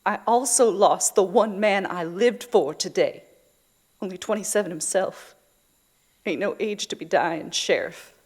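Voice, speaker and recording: An actress playing a bitter, grieving young widow bent on vengeance, cold and resolute in an audio drama. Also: female voice, mid-range voice, a little slow, darkish tone, breathy edge. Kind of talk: Western audio drama acting.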